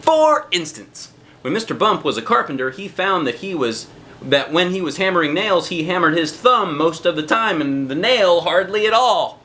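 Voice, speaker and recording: A man reads a children's storybook aloud with a bright, slightly high voice. His pitch moves around a lot as he narrates. He is expressive and leans on key phrases, stretching them out for emphasis. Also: normal speed, a little hiss or hum. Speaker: storybook reader, a dad.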